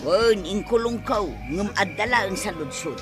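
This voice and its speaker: A woman's voice: medium pitch, moderate pace, lightly acted. A woman with an Ilocano Filipino accent playing a nagging, complaining village wife in a radio comedy drama. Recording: loud music bed behind the dialogue. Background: music.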